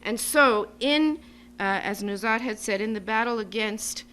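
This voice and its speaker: A woman's voice: fairly high pitch, fairly bright tone. Emphatic woman giving a speech, noisy recording. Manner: emphatic, deliberate, enumerating points in short phrases. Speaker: advocacy group speaker at a symposium. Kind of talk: public speech, semi-improvised. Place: symposium venue.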